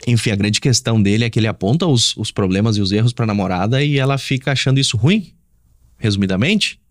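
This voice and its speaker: Low-voiced southern Brazilian man, dry sound. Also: animated, quick pace, no echo. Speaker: host giving relationship advice. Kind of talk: casual advice talk.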